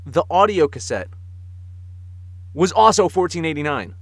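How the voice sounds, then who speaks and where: A man co-hosting a podcast in casual comic chat, telling stories in an animated way and chuckling as he talks. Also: fairly high pitch, normal speed, no room sound. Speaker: podcast co-host.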